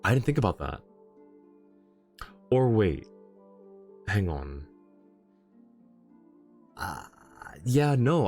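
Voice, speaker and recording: Male game streamer, low-pitched, casual commentary and chat, light music underneath. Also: darkish tone. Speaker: game livestreamer. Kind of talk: casual livestream commentary, chatting with viewers.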